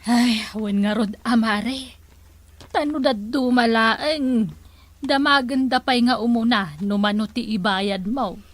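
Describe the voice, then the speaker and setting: A woman's voice: fairly high pitch, steady tone, slow pace, no echo. A woman with an Ilocano accent playing an agreeable, gossipy village friend in a comedy drama.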